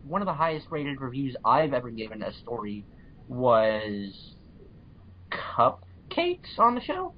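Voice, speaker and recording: Man, medium pitch, podcast guest, casual, blunt, halting delivery, dull low-fidelity recording. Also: very animated, slight background noise. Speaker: podcast guest, fan fiction reviewer. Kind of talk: casual chat.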